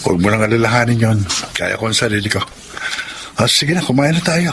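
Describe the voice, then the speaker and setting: Mild dutiful husband, noisy background. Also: male voice, on the low side, expressive, brisk, vocal fry. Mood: reassuring. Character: mild, dutiful, hardworking husband, a jeepney driver. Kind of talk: Tagalog radio drama acting.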